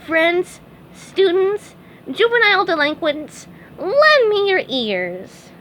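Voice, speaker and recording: A very high, excitable cartoon sea sponge boy, as a woman reads him aloud. He makes grand, pompous speeches and shouts with zeal, his voice bouncing all over the place. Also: slow pace, a little hiss or hum, exaggerated voice, poor audio. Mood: pompous, zealous. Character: eager excitable young male sea sponge. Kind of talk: storybook read-aloud.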